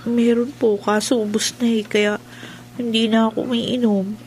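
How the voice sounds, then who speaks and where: A woman's voice: on the high side, little pitch movement, normal speed, a little mellow, a little hiss or hum, natural voice. A woman playing a frightened young female student in a radio horror drama, crying out in terror. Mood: confused. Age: young adult. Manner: terrified, crying out at times. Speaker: student, Isabela.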